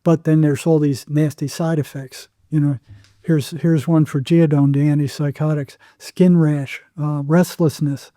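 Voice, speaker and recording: A man talks as a podcast guest in a very dark, mellow voice that sits a little higher than average. The sound is close and dry, and he explains in anecdotes, leaning on repeated phrases for emphasis. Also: little pitch movement, unhurried, dry recording. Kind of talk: anecdotal interview talk.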